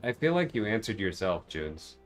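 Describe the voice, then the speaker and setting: A man's voice: lowish voice, very animated, normal speed, faint music behind. A man livestreaming a video game, chatting casually and thinking aloud as he reasons with viewers. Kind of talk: casual livestream gameplay chat.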